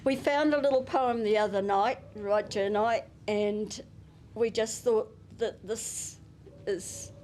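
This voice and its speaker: A woman with a New Zealand accent giving a eulogy at a memorial service, reading a prepared tribute and a poem gently into a handheld microphone. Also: medium pitch, steady tone, a little hiss or hum. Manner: gentle and unhurried, with soft chuckles. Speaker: family member giving a eulogy.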